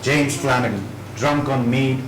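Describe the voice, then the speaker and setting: A man reading literary fiction aloud in a dramatic narrating style, with measured phrasing. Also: medium pitch, fairly bright tone. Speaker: reader at a dramatised book reading. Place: indoor event room at a live reading. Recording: echoey room with clear background noise.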